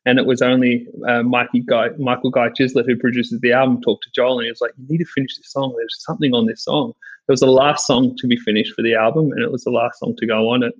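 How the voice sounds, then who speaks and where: An Australian man guesting on a podcast, telling stories in an animated, joking way. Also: medium pitch, quick pace, muffled recording. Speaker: worship songwriter guest. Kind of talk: casual podcast chat.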